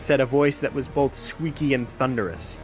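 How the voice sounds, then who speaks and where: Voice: mid-range voice, moderate pace, a little mellow, rough recording. A narrator reading a scripted adventure audiobook in a storytelling style. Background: background music.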